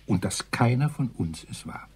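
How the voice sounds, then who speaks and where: An actor playing a rambling colonel in a German radio crime drama, hesitant then emphatic, with a husky, breathy voice. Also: male voice, mid-range voice, expressive, moderate pace, darkish tone. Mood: matter-of-fact.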